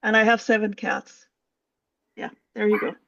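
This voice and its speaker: A woman coach from Puerto Rico, speaking gently and thoughtfully in a casual chat. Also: mid-range voice, fairly even intonation, vocal fry, dry recording. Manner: slow, gentle, thoughtful with fillers. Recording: dry, dull lo-fi mic.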